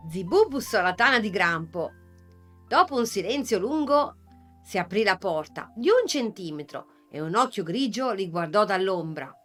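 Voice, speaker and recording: A woman narrating a children's storybook in a gentle, measured voice at a mid pitch. She leans into pauses to build quiet suspense. Soft music plays underneath. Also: very animated.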